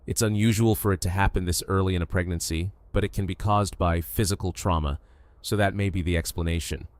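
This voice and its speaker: A man playing a calm, reassuring doctor in an audio drama, explaining in a measured, clinical way. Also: deep voice, no room sound, lightly acted. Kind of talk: full-cast audio drama acting.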